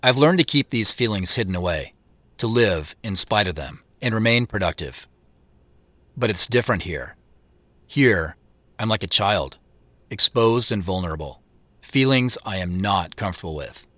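An actor playing an introspective, guarded man who narrates his homecoming in the first person, measured and confiding, in an audio drama. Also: on the low side, lively intonation, bright, forceful tone, dry recording. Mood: melancholy, guarded. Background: music under the narration.

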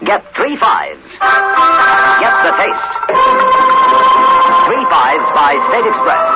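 Loud music runs behind a male announcer on a dull vintage radio recording. He sells in a polished, emphatic 1960s advertising style with animated pitch. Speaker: commercial announcer.

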